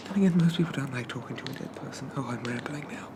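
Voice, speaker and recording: A man playing a shy, polite young zombie from a bygone era in a soft-spoken ASMR roleplay, stammering and apologizing in old-fashioned phrases. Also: mid-range voice, fast, noisy background, natural voice. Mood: apologetic, awkward.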